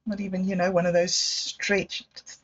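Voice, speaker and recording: A middle-aged woman hosting a livestream, telling personal stories in a slow, thoughtful, reflective way. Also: on the low side, little pitch movement. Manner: slow, thoughtful storytelling with emotional quotes. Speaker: livestream host. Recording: dull lo-fi livestream audio.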